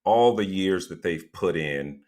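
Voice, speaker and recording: A man's voice: low voice, lively intonation, measured pace, darkish tone. A man hosting an interview show, a non-practicing attorney, speaking hesitantly with many fillers and restarts.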